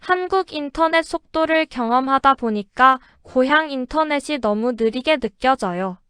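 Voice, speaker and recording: A woman reading example sentences slowly and carefully for a shadowing lesson. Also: high voice, dry recording. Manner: careful, even, deliberate delivery. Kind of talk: slow, clear model-sentence reading for shadowing.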